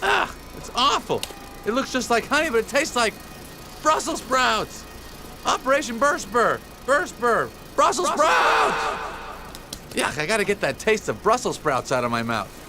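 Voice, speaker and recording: A man voicing a chatty, sardonic, excitable young bee in an animated game. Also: extremely high pitch, measured pace, over music, heavily acted. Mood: disgusted. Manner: sardonic, conversational, exclaiming. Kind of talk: animated game cutscene acting.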